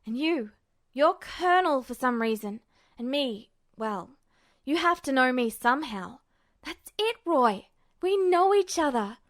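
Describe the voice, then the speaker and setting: A woman voicing an excited, impatient teenage boy in a story reading, blurting things out with emphatic insistence. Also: high voice, unhurried. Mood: thrilled. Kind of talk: audiobook-style character dialogue.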